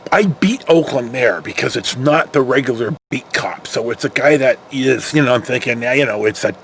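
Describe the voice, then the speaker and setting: A man's voice: mid-range voice, a bit bright, slight background noise. An older American man telling casual, rambling anecdotes, acting out the dialogue and using salty language. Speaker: storyteller, former security patrol officer.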